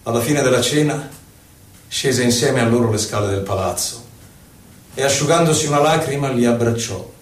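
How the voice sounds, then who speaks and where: An actor playing an indignant, solemn exiled Chilean poet-senator, reciting deliberately in a theatrical radio style with dramatic pauses. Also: male voice, medium pitch, lightly acted. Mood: grave. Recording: roomy echo, distant from microphone.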